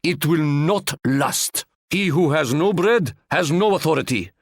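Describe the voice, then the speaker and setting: A proud boastful sultan. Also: male voice, a little high, lively intonation, dry recording, studio quality. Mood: regal. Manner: grand, measured, speaks in stately proverbs. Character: proud boastful regal male sultan, fond of proverbs. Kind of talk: video game voice lines.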